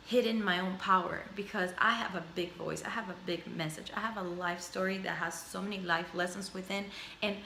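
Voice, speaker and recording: A woman's voice: mid-range voice, vocal fry, sharp tone, echoey room, slight background noise. A woman in her thirties, a native Spanish speaker, talking reflectively to her camera in a personal video journal, rambling through lists and repeating phrases. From Spanish-speaking background. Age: 30s. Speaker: vlogger. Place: indoors at home. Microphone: camera mic.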